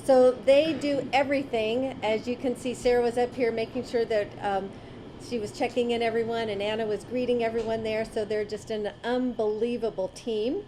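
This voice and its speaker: A woman's voice: fairly high pitch, steady tone, moderate pace, background noise. A woman emceeing a student awards breakfast, graciously thanking volunteers and guests one by one. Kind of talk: ceremony announcements.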